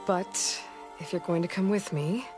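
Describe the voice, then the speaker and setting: A woman playing a guarded, capable young huntress in a video game, earnest and a little halting as she explains things. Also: fairly low pitch, natural voice. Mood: determined, instructive. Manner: hesitant, halting when explaining. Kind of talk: video game cutscene and exploration dialogue. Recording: loud cinematic game music behind. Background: game music.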